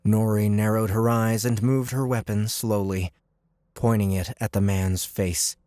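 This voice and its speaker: A man's voice: on the low side, steady tone, unhurried, dry recording, clean studio sound. A man narrating an audiobook with dramatic, scripted delivery. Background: loud music.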